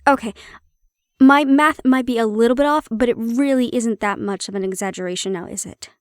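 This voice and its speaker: Woman acting a prickly yet caring handler, higher-voiced. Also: brisk, no room sound, studio quality, lightly acted. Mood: sardonic, pointed. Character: prickly but caring female handler of a captive hybrid. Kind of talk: intimate audio roleplay acting, one-sided conversation.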